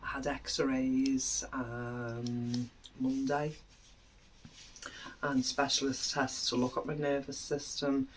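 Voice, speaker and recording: A British woman vlogging in a casual, chatty way to camera, a little snuffly from a cold, pausing often with "um" and letting her voice drop quietly at times. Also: extremely low pitch, level tone, a little hiss or hum, low-quality recording. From United Kingdom. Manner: chatty, many "um" pauses, trailing off quietly.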